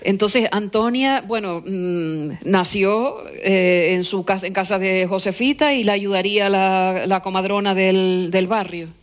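Woman interviewer, medium pitch. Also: measured pace, hardly any pauses. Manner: prompting, often interjecting agreement. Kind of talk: informal conversational interview.

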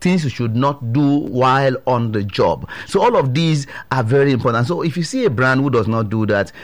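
A Nigerian man speaking as an HR expert on a radio show, with a bold, deep voice, explaining with frequent restarts and chuckles. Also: animated, normal speed. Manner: hesitant restarts, laughs. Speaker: HR expert guest. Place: radio studio.

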